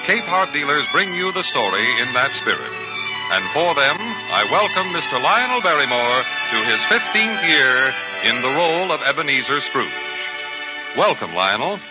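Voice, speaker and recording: A man's voice: a little high, sing-song, normal speed, highs cut off, loud music behind the voice. A man with an American accent making formal, emphatic announcements and scripted ad reads in 1940s radio style. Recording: band-limited 1940s radio recording.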